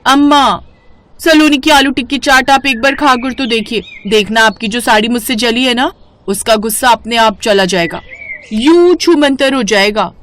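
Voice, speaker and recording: A woman's voice: a little high, moderate pace, close to an everyday voice. A woman voicing a cheeky young daughter-in-law who coaxes, in a cartoon moral story. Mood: coaxing. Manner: coaxing, animated.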